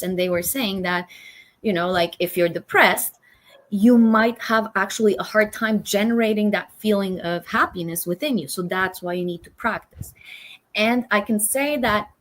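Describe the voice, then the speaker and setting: A woman host from Romania, chatting enthusiastically in a casual interview, with lots of fillers. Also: medium pitch.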